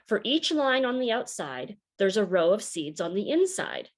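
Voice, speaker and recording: A woman voicing a patient, encouraging male schoolteacher in a children's storybook, instructive and measured. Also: medium pitch, big pitch swings. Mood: patient, explaining.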